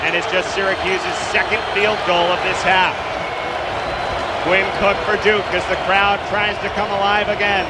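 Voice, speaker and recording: A young American man calls sports play-by-play in a high-pitched voice. Loud venue noise runs behind him as he follows the action. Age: 20s. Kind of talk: live play-by-play commentary. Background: arena or ballpark ambience.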